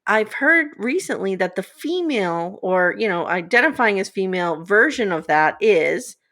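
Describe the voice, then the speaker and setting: A woman in her forties with a raspy voice, sitting in as a podcast co-host. Her delivery is wry and joking, a relaxed conversational back-and-forth. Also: medium pitch, normal speed. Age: 40s. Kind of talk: casual podcast chat.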